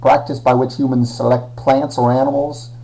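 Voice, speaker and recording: A man's voice: medium pitch, little pitch movement, normal speed, warm tone, rough recording. A man presenting a playful science lesson in a put-on Australian accent, full of slang. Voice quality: slangy Aussie put-on, drawling. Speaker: educational presenter.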